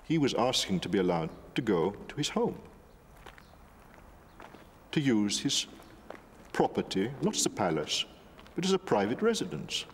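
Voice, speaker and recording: A man giving deliberate documentary commentary, stressing key words. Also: lowish voice, very animated, long reverb tail, slight background noise.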